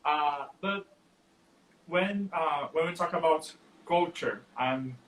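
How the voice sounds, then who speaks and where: A man co-hosting a casual chat on a video call, asking the guest questions in a measured way. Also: a little high, a bit bright, slight background noise. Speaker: co-host. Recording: echoey room on a call.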